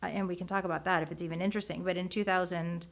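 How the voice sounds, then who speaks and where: A woman in her forties whose first language is Japanese, talking as an author in a casual interview, animated and often restarting her sentences. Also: fairly low pitch, very fast. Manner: animated, many restarts and self-corrections. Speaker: guest, author.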